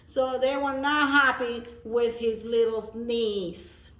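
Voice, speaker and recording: A woman reading a picture book aloud to young children, pausing to explain things simply like a teacher. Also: a little high, steady tone, a bit bright. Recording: echoey room.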